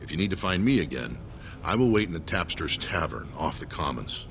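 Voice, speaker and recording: A man playing a loyal, earnest dwarven soldier with a formal, measured delivery in a video game. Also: low voice, sing-song, vocal fry, a bit bright, soft background music, heavily acted. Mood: businesslike. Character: loyal dwarven soldier, solemn supporter of his lord. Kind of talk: scripted video game dialogue.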